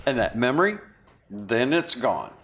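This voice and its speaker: An actor at a table read playing a remorseful, philosophizing man, with a halting, confessional and earnest manner. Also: medium pitch, very animated, fairly bright tone. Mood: solemn, preaching. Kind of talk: read-through of a stage drama script. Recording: clearly noisy room recording.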